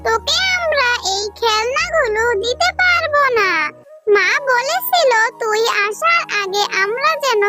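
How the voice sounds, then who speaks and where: Cartoon kid, played by a voice performer: bossy, dismissive, extremely high and slow in delivery. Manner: scolding, dismissive. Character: bossy, dismissive child with new toys. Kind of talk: children's cartoon dialogue.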